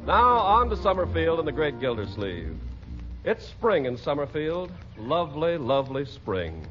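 An American man announcing and narrating in a polished, emphatic 1940s radio style. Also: a little high. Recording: muffled vintage 1940s radio broadcast. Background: music.

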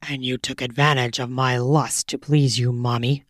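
A woman voicing a domineering, possessive young man, speaking in a low, murmured, commanding way. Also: flat delivery, moderate pace, dry recording, clean studio sound. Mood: stern.

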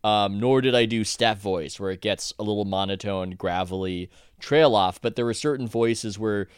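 A man's voice: on the low side, moderate pace, clear, resonant tone, dry recording, studio quality. A man, an author guest on a podcast, talking casually in a rambling way with many restarts and self-corrections.

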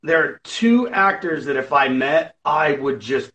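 A raspy-voiced man talking as a guest, telling stories animatedly with lots of fillers. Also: on the high side, a little slow. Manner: animated, filler-heavy storytelling. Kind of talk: casual chat.

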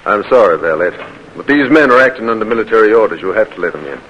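Forceful Confederate army captain. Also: male voice, on the low side, animated, quick pace. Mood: brisk, courteous. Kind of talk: old-time radio drama acting. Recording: dull, noisy vintage radio recording.

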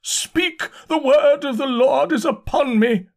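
Mad ranting curate for an audiobook, shrill loud wailing, drags out the doom preaching. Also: male voice, gravelly, full character voice. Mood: frenzied prophesying. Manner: wailing, declamatory, drawn-out preaching cries. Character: insane ranting curate, frenzied religious doom-crier. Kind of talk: dramatised audiobook character.

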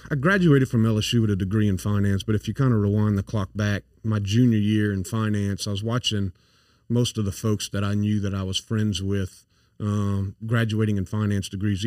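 A man's voice: fairly low pitch, darkish tone, dry recording. A man in his forties with a south Louisiana accent, a company founder talking casually as an interview guest, earnest and full of fillers and restarts. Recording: dry, a bit far from the mic.

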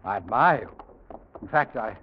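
An actor playing a smooth, scheming young houseguest from England in an old-time radio drama, suave with a threat underneath. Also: male voice, fairly low pitch, animated, measured pace. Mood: smooth, wooing. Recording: dull, noisy old radio recording.

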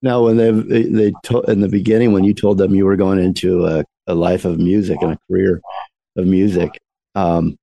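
Male radio interviewer, dark mellow tone, dry close sound, long rambling questions. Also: low-pitched, flat delivery, moderate pace, creaky, no room sound, poor audio.

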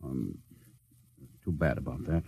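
An actor in an old-time radio drama playing a commanding, short-fused spaceship captain who speaks in clipped orders. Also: male voice, rumbling low, expressive, a little slow, gravelly, mellow, slightly rough, full character voice. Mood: brisk, composed.

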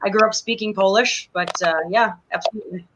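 A woman from Chicago, a guest in a casual panel chat, bright and cheerful. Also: medium pitch, fairly even intonation, fairly quick, background noise.

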